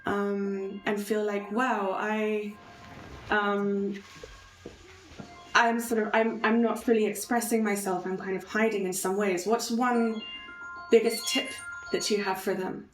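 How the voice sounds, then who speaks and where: Woman host, mid pitch, friendly interviewer, roomy sound. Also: level tone, takes it slowly, soft background music. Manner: friendly, wraps up and asks questions. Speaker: podcast host. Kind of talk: interview questions. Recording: echoey room, faint noise.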